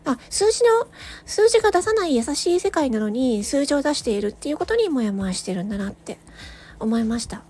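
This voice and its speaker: Lively, high-voiced woman chatting casually. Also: brisk. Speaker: solo audio channel host, amateur voice actor. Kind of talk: casual reflective chat to listeners.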